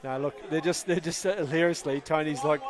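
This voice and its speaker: A young New Zealand man with a New Zealand accent, giving chatty, excitable live commentary on a tabletop tournament and laughing often. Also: fairly high pitch, brisk, a crowd in the background.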